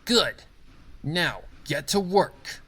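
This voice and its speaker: A man's voice: on the high side, lively intonation, drawn-out words, sharp tone, slightly rough, pitched above the performer's own voice, full character voice. A man voicing a cold, domineering female pegasus factory boss in a fan story reading, giving commanding, menacing orders. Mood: stern, threatening.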